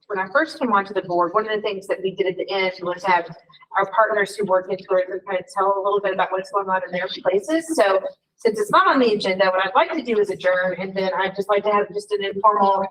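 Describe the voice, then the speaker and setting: A woman's voice: mid-range voice, steady tone, quick pace. Informal woman chairing a meeting, distant mic. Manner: warm, informal, lots of fillers. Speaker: board chair. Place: meeting room.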